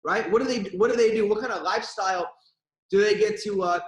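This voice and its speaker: A young man giving an energetic, repetitive motivational pep talk to his team as a network marketing leader. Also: sits high, quick pace, reverberant.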